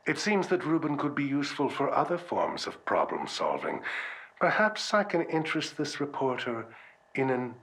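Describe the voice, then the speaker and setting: An actor playing an arrogant, scheming doctor in a video game, with clinical, disdainful phrasing. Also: male voice, mid-range voice, huge pitch swings, moderate pace, a bit bright. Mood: irritated, scheming. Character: arrogant, scheming male hospital doctor, coldly irritated. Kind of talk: recorded monologue in game acting.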